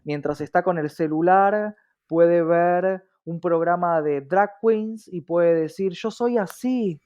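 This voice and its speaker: A man's voice: sits high, slow pace, darkish tone. A man with a Rioplatense Argentine accent giving reflective, explanatory interview answers with earnest repetition and rhetorical questions. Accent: Rioplatense Argentine Spanish. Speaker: interview guest, diversity specialist. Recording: slightly dull, lo-fi sound.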